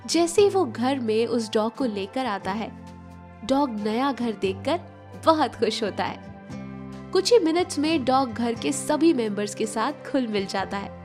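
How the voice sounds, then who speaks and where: A woman's voice: fairly high pitch, lively intonation, a little slow, a little mellow. A woman telling a story as a scripted, emotional narration. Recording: music bed behind narration. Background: background music.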